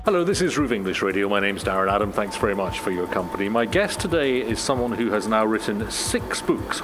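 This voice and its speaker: Measured male radio host, somewhat low voice. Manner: measured, often ends questions with tag questions. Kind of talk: radio interview. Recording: noticeable background noise.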